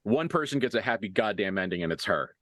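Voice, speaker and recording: A man's voice: fairly low pitch, animated, fast, fairly bright tone, dry recording. A man acting as game master, improvising narration for a tabletop roleplaying game in a casual, mildly profane way.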